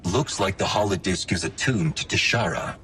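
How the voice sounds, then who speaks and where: A man playing a stern, distrustful droid with formal, deadpan delivery in a video game. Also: low voice, normal speed, slight background noise. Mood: matter-of-fact. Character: stern, distrustful battle droid, male, coolly precise.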